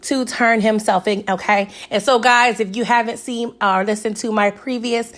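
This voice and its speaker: A young woman with a raspy, hoarse voice, chatting casually through a TV episode recap and often asking "okay?". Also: fairly high pitch, fairly even intonation, moderate pace, fairly bright tone. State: hoarse from recent illness. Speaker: TV recap vlogger.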